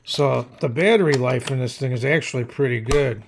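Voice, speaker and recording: A man's voice: medium pitch, normal speed, slight background noise. A man giving a casual hands-on product review in an unscripted, plain-spoken, hesitant way. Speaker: radio reviewer.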